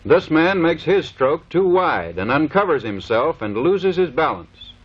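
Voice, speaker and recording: A man's voice: fairly high pitch, very animated, a little slow, husky. An American man giving formal, clipped, scripted instructional narration in the manner of a 1930s military training film. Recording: dull vintage 1930s film soundtrack.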